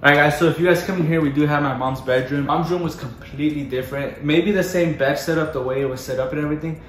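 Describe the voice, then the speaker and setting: A man's voice: on the high side, steady tone, fast, distant mic, faint music behind. Echoey young man vlogging. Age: 20s. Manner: mixes Spanish words into his English. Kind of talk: casual house tour vlog, chatty. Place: indoors, small bathrooms and bedrooms of a house. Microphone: handheld camera or phone held by another person, a few feet away. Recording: roomy echo, voice away from the mic.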